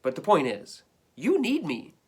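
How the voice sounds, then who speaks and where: A man's voice: on the high side, huge pitch swings, a little slow, a little mellow, husky, voice pushed up, heavily acted. A man reading a storybook as an indignant, put-upon pet dog, complaining in a dramatic, emphatic way. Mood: outraged complaining. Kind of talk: storybook read-aloud.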